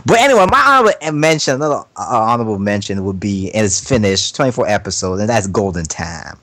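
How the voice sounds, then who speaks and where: A man chatting casually on an anime podcast, rambling through his opinions in slangy, profane, halting speech full of repetition. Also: on the low side, big pitch swings, a bit bright, no echo.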